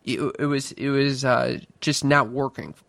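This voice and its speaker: A man's voice: mid-range voice, steady tone, measured pace, gravelly, a bit bright, no echo. A man in his twenties co-hosting a casual podcast, wry and defensive, stammering often. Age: 20s. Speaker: podcast co-host, stand-up comic. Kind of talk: casual chat.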